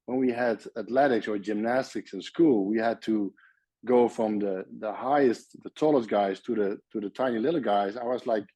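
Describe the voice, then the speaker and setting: A man's voice: fairly low pitch, normal speed, warm tone, breathy edge, dry recording. A Dutch-accented man from the Netherlands, a surgeon guest in a casual interview, speaking friendly English with frequent restarts.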